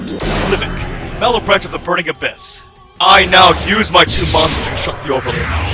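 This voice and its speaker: Male actor as righteous, fierce card-duel rival, high and animated, theatrical fan roleplay, music behind. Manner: theatrical, grandiose declarations. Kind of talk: fan roleplay anime-style duel acting.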